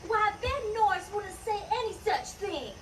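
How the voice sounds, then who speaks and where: Woman as high-voiced, fussy frontier lady. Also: expressive, a little slow. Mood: indignant. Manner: prim, exclamatory. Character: indignant, fussy frontier townswoman. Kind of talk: Western radio drama acting. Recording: distant stage microphone, faint noise.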